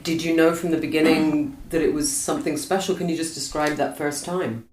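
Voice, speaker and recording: A woman's voice: low voice, steady tone, brisk, a little mellow, slight background noise. A woman interviewer asking questions, recorded from a distance in an echoey room.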